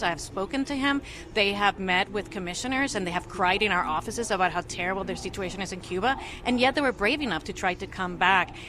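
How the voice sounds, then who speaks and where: A woman commissioner on religious freedom, speaking earnestly and fluently as a guest in a news interview. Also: medium pitch.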